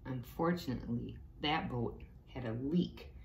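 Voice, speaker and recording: Female story-time reader: slow and expressive, talking to young children, roomy sound with faint noise. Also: rumbling low, vocal fry. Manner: expressive, explains pictures to young listeners. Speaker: teaching assistant reading a storybook to children. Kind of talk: read-aloud story time with asides to children. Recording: echoey room with faint background noise.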